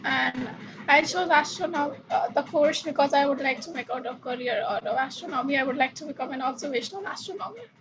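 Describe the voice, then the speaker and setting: A woman's voice: sits high, fairly quick. A young woman, a student politely introducing herself in an online class. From India. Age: young adult. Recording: dull call audio with noise.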